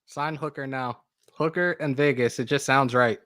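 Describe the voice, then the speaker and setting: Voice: mid-range voice, fairly even intonation, moderate pace, a bit bright. A co-host of a live sports call-in show, bantering casually with jokes and swearing. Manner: joking, profane.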